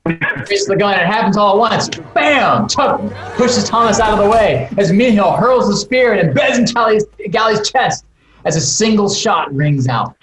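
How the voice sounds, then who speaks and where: A man's voice: high voice, lively intonation, normal speed, a bit bright, faint music behind. A man reading stage directions dramatically with casual asides at a table read over a video call. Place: indoor room on remote video call. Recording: echoey room, clearly noisy video call.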